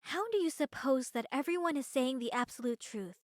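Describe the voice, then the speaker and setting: A woman's voice: sits high, animated, a little fast, no room sound, clean studio sound. A woman playing a composed, inquisitive young nun in a fantasy visual novel, speaking politely and firmly. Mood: firm, probing. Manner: polite, probing, firm. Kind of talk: visual novel character acting.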